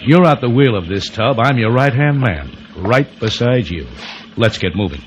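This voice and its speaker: An actor playing a smug, domineering gangster boss in old radio drama style, on a dull, noisy vintage recording. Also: male voice, mid-range voice, animated, moderate pace, lightly acted. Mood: smug, commanding. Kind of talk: 1940s-50s American radio drama acting.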